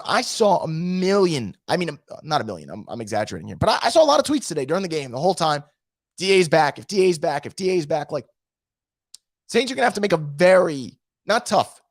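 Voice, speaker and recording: Hoarse, animated man ranting about football. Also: on the high side, fast talker, no echo, clean studio sound. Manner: animated, frustrated, swearing. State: losing his voice, feeling sick. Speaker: sports podcast host. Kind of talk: casual live-stream recap reading chat.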